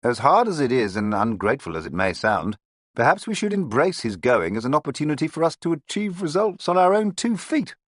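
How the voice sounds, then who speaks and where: An earnest police inspector whose voice climbs high as he presses his questions, as a man reads him aloud. His pitch swings widely from line to line. The sound is dry and close. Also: big pitch swings, fairly quick, no echo.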